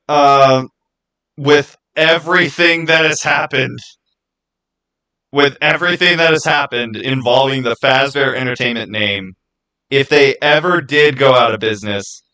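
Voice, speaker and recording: A man's voice: mid-range voice, sharp tone, clean tone, low-quality recording. A man hosting a live stream, talking casually with viewers in an emphatic, insistent way and often repeating his phrases. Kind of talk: casual live chat with viewers, arguing game theories.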